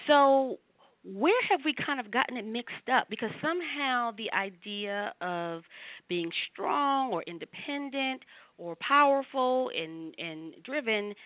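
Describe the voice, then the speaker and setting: A woman's voice: on the high side, expressive, a little slow, dry recording. A woman hosting an interview, who encourages her guest with affirming remarks. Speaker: interviewer and host. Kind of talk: talk show interview. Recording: dry, tinny phone line.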